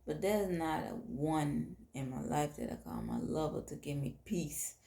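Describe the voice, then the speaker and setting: Hoarse, gravelly Southern woman talking slowly. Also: sits low, wildly swooping pitch, warm tone, reverberant. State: hoarse, tired voice. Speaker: podcast host. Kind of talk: intimate late-night monologue.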